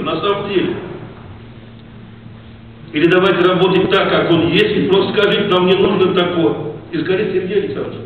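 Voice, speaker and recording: Hoarse man scolding a hall from afar. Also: high-pitched. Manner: reproachful, rambling, rising to indignation. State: hoarse from a cold. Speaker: farmers' association head addressing a meeting. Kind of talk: emotional public speech. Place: large assembly hall with seated audience. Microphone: far camera in the audience rows. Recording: distant echoey hall, tinny, faint noise.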